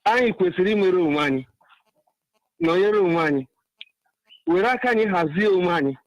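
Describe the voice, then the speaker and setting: High-voiced Nigerian Igbo man calling in. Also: animated, fairly quick, dry recording, dull audio. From Ebonyi State, Nigeria. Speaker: radio phone-in caller. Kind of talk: impassioned political phone-in monologue.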